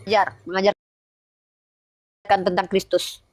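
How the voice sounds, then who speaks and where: A woman's voice: medium pitch, level tone, slow pace, dry recording. Woman, debate host, has a cold, dry close-miked sound, confident and combative. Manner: blunt, challenging, sure of herself. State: slight head cold. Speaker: host of a live religious debate stream. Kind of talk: argumentative live-stream debate.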